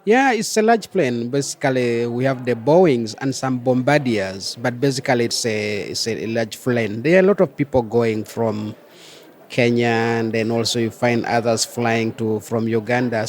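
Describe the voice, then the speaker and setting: A man from South Sudan with a South Sudanese accent, explaining things as an interview guest. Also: medium pitch. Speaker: interview guest, microfinance chief executive.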